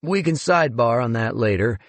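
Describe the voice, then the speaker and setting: A man's voice: mid-range voice, animated, a little fast, no room sound, voice pushed up, natural voice. A man voicing a smug, pushy male museum executive who talks in a breezy, condescending way.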